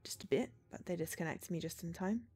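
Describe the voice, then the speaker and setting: A cool, curt female agent from a cyberpunk game, read by a woman streamer. She answers in a guarded, dutiful way in a slightly low voice, over faint music. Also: brisk, darkish tone, breathy edge. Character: cool, guarded female android-hunting agent, tired of her job. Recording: music behind the voice.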